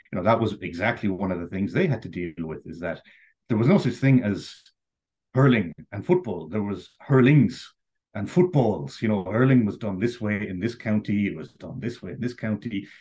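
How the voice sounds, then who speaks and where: A man's voice: low voice, darkish tone, husky. An Irish man, a guest on an interview, telling his story in a casual, rambling way full of "you know" and "like". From Ireland.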